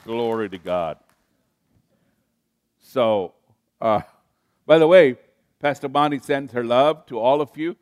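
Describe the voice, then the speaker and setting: An older man from Kenya delivers a sermon at an unhurried, deliberate pace. He restarts phrases and calls on the congregation to join in and respond. The sound is close and dry, with little room echo. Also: mid-range voice, a little mellow. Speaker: pastor.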